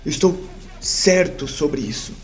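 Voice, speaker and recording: Man playing a mellow, formal scholarly professor. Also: fairly high pitch, lively intonation, faint music behind. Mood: certain, urgent. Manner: measured, articulate, formal. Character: scholarly male professor, analytical and fascinated by fanaticism.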